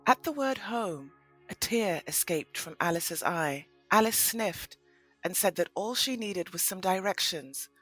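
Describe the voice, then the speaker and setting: A woman narrates an audio play in a slightly low voice. Her storytelling is expressive, with lots of melodic movement in the pitch. Soft music plays faintly underneath. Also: a little slow.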